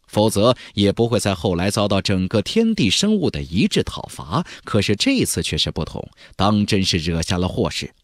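A man's voice: on the low side, no echo, clean studio sound. A man narrating a fantasy audiobook in a dramatic, theatrical and emphatic storytelling style. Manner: theatrical, emphatic, with exclamatory flourishes.

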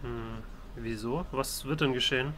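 A man role-playing his video game hero's dialogue as a let's player, with a hesitant, deliberate delivery. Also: medium pitch, noisy background.

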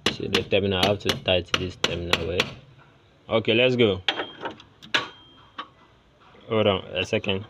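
A Ghanaian-accented man giving an informal hands-on mechanic's tutorial, often checking in with "right?" and posing questions he answers himself. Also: low-pitched. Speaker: mechanic giving car tips. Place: outdoors at an open car bonnet. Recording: loud engine and vehicle noise.

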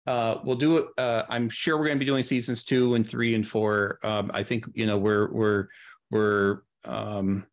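A middle-aged man chatting casually as an interview guest on a video call, thinking aloud in a halting way. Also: on the low side, level tone. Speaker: guest, audio drama creator. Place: small room on a video call.

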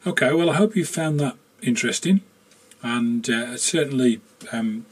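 Slightly husky middle-aged man. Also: mid-range voice, moderate pace. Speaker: electronics hobbyist host. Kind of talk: casual demonstration and chat to camera. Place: small home workshop indoors.

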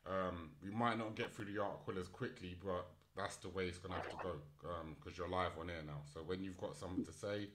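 A man with a low-pitched voice hosts a casual live stream. He speaks informally and unscripted, thinking aloud between bits of news. He sounds like he is running the show himself from a small studio setup. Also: steady tone, a little fast, few pauses, heavy background noise. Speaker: host of a live online news and chat show. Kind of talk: casual live stream chat and article reading.

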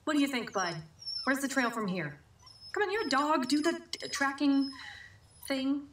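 A woman acting in an audio drama as an edgy woman out searching with her dog, chatty and halting, in a naturalistic style. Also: sits high, expressive. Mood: urgent, coaxing. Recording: noisy, roomy dialogue recording.

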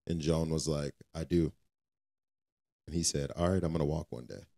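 Very deep, mellow-voiced man. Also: moderate pace, gravelly, no room sound. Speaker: podcast co-host. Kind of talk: casual faith podcast chat.

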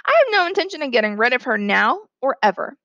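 A woman's voice: sits high, sing-song, fast talker, fairly bright tone, dry recording, highs cut off, exaggerated voice. A woman voicing a stern father with a firm, admonishing manner in a story reading.

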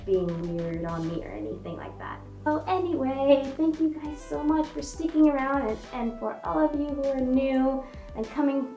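A woman's voice: high-pitched, big pitch swings, normal speed, mellow. A young woman vlogging to camera in a casual, bubbly way, with many fillers and restarts, her voice muffled by a fursuit head. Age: young adult. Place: small room indoors. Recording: echoey room with faint music.

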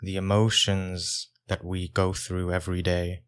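A man leading a guided meditation as a teacher, speaking calmly in short phrases with long pauses. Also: low voice, clean tone.

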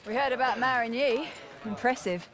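A woman's voice: on the high side, moderate pace, a bit bright, natural voice, rough recording. Woman acting a cool special forces agent. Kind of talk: video game war acting. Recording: faint noise behind.

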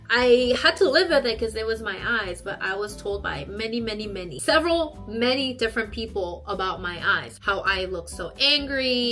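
A woman, talking to the camera in a chatty, expressive way from a small bedroom. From South Korea. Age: 30s. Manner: chatty and expressive, acts out quoted people in a high voice. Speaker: YouTube vlogger. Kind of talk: casual talk to camera.

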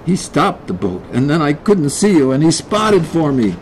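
A man's voice: on the high side, very animated, normal speed, a little hiss or hum, pitched above the performer's own voice, low-quality recording. A man voicing a brave, anxious young boy in a storybook, speaking in an urgent, exclamatory way. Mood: relieved.

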